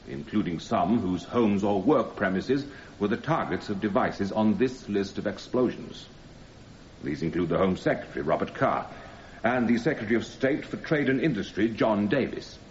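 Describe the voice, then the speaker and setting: An actor playing a grave, methodical British prosecuting barrister in a radio drama, speaking formally and deliberately. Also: male voice, on the low side, natural voice. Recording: roomy, slightly dull sound, faint noise.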